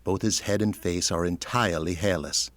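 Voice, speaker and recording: A man's voice: low voice, steady tone, normal speed, fairly bright tone, dry recording. A man delivering scripted narration for an audio drama, setting each scene.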